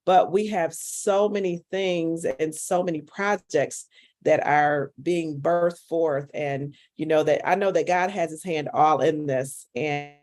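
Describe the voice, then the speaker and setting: A woman's voice: sits low, steady tone, takes it slowly, a little mellow. A woman speaking off the cuff in an earnest, emphatic, repetitive motivational way. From United States, Michigan. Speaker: community member guest. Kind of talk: unscripted motivational talk.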